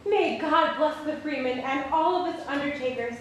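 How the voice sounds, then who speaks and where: A woman's voice: high voice, moderate pace, close to an everyday voice. A woman giving a dramatic reading as a hopeful granddaughter telling her family's history. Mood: earnest, hopeful. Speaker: stage reader. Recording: very echoey hall, faint noise.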